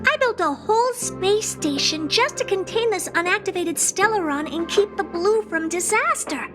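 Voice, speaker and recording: A doll-like female genius of a sci-fi game with a very high, lively voice. She is condescending and blunt, drawling with smug superiority, and music plays clearly behind her. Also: normal speed. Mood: fascinated. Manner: condescending, smug, blunt, bored drawl turning to keen curiosity. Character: haughty, doll-like female genius scientist who owns a space station. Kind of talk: video game cutscene acting.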